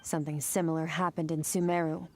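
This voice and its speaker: Low-voiced, aloof warrior woman. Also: fast talker, slight background noise. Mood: cool, serious. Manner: curt, deadpan, condescending. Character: aloof, powerful, cold female warrior, world-weary and disdainful. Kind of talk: video game voice acting.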